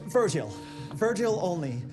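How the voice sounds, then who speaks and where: Gushing, animated male engineer. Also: high voice, measured pace. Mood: eager, nervous. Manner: deferential, flustered, gushing. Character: eager, starstruck young male engineer inventor. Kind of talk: cinematic game acting. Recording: music behind the dialogue.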